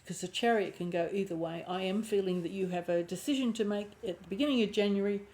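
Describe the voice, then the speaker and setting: A woman with a croaky voice and a lingering cough giving an intuitive tarot reading, thinking aloud and often restarting her phrases. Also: mid-range voice, quick pace, a little mellow, slight background noise, rough recording. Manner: musing aloud, restarting phrases, clearing throat. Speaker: tarot reader.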